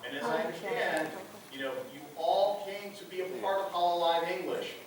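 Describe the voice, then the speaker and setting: A man hosts a convention panel with showman-like flair, speaking in a fairly high voice and steering the audience with clear instructions. He is heard from far off through the house speakers in an echoing hall, with room noise underneath. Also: a little fast. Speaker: panel moderator. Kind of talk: announcer-style convention hosting. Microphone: PA mic heard from a far camera.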